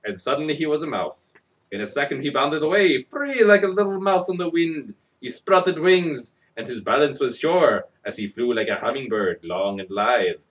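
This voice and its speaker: A man's voice: medium pitch, expressive, normal speed. A man narrating a comic dramatic reading of a children's story, hammy and sarcastic, recorded at some distance from the microphone.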